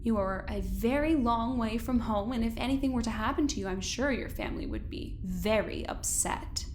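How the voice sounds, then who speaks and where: Actress as female scientist, audio drama. High voice, cordial but pointed, chatty. Light background noise. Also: moderate pace, lightly acted. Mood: friendly, probing. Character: cordial, probing female doctor, a visiting scientist.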